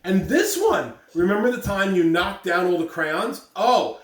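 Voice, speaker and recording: A woman's voice: medium pitch, very animated, a little slow, crowd noise. A woman voicing a confident, chatty young schoolgirl from a storybook, boastful and teasing. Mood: eager. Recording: echoey room, distant mic.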